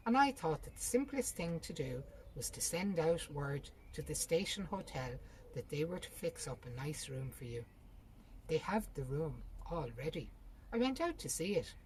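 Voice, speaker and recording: A woman voicing a practical, impatient older sister in a story, brisk and sharp-tongued. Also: low-pitched, a little hiss or hum.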